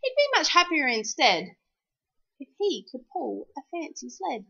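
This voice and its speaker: Animated, high-pitched woman reading a storybook. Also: a little slow, gravelly, lo-fi sound. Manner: animated, sing-song rhyming delivery. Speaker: storyteller reading a children's picture book aloud. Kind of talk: expressive children's storybook read-aloud.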